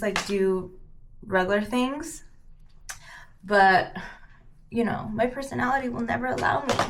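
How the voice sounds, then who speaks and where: A woman in her thirties, born in California with Cantonese as her first language, chatting casually while she does her makeup. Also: mid-range voice. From San Francisco Bay Area, California. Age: 30s. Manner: chatty, peppered with "like", soft-spoken while doing makeup. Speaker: beauty vlogger. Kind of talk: casual get-ready-with-me chat.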